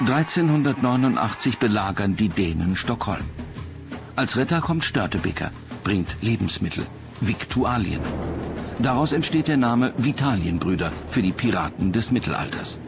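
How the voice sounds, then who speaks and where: Male narrator for scripted documentary, creaky mid-pitched voice, music underneath. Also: big pitch swings, moderate pace.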